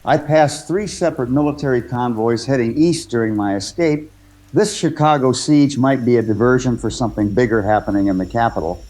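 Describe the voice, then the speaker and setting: A man playing a truck driver who calls a radio show in a war drama, giving a measured, matter-of-fact eyewitness account. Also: mid-range voice, a little mellow, lightly acted, low-quality recording. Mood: grave, matter-of-fact. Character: shaken but observant male trucker escaped from a war zone. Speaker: radio caller.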